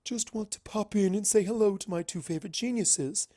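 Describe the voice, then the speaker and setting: A man's voice: high-pitched, a little fast, dark tone, no room sound, studio quality, pitched above the performer's own voice, natural voice. A man voicing a coy, regal female pony princess in a fan fiction reading. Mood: coy, flirtatious.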